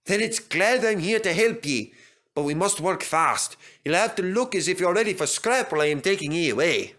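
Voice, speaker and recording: A man voicing a brave, excitable steam engine in a storybook, with a Scottish accent and emphatic, hearty exclamations. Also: high-pitched, moderate pace, pitched above the performer's own voice. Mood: urgent.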